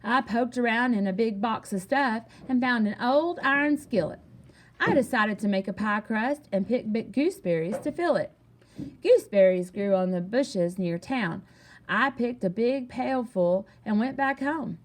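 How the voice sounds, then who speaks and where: High-voiced woman reading a children's story aloud. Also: expressive, normal speed. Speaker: storybook reader.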